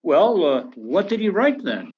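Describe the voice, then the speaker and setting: An actor playing a businesslike investigator in a radio drama, questioning politely and giving little away. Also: male voice, sits high, sing-song, a little slow, slightly rough, muffled recording, exaggerated voice. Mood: probing. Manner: probing, politely noncommittal. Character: businesslike, evasive male investigator following orders.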